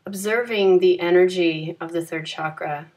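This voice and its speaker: A woman leading a guided yoga nidra meditation in a calm, gentle, soothing manner with unhurried phrasing. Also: fairly low pitch, rough recording.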